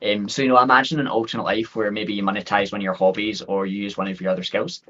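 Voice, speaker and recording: A man in his thirties with a thick Scottish accent, giving an upbeat, quick webinar talk full of fillers and restarts. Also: fairly low pitch, level tone, sharp tone. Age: 30s. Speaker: guest speaker. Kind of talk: webinar presentation. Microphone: video call. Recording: dull lo-fi call audio.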